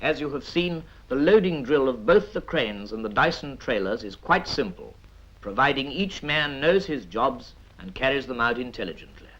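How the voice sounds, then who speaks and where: A British man reads scripted 1950s military training film narration in a measured, instructive manner with dry asides. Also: mid-range voice, animated, a bit bright. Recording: dull vintage 1950s film soundtrack.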